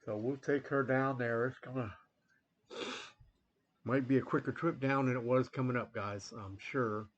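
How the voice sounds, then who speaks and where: A man hosting a train-game playthrough in a rambling, relaxed way, full of fillers, a little sniffly from a coming cold. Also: medium pitch, moderate pace, pauses often, dull audio. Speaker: gaming channel host. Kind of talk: casual gameplay commentary.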